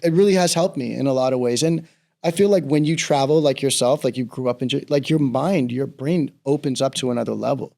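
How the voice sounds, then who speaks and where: Middle-aged bahamian guy, gravelly voice, easygoing rambly interview talk. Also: medium pitch, fairly quick. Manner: relaxed, rambling storyteller, laughs easily. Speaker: real estate broker, guest. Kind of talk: casual interview conversation.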